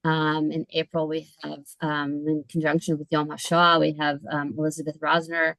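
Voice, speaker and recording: A woman moderating a literary event on a video call, chatty and relaxed, laughing often and talking with many fillers. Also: low-pitched, moderate pace, dry recording, low-quality recording.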